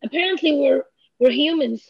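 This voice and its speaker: High, gravelly-voiced woman. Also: normal speed, no echo. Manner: thoughtful, many fillers and restarts. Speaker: author and language researcher. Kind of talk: interview answers. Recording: slightly dull lo-fi sound.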